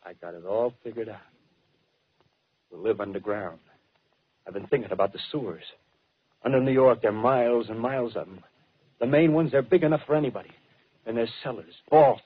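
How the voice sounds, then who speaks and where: An American actor playing a fanatical, rough-spoken soldier survivor in a 1930s radio drama, talking in clipped, insistent slang. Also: male voice, medium pitch, brisk, long pauses, lightly acted. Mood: feverish scheming. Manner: colloquial tough-guy talk, clipped phrases, insistent. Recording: dull, muffled 1930s radio broadcast recording.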